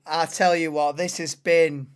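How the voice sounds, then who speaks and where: A woman hosting a live race commentary stream, excitable and bursting into shouts and laughs, her voice going hoarse. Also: deep voice, unhurried. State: losing voice, hoarse.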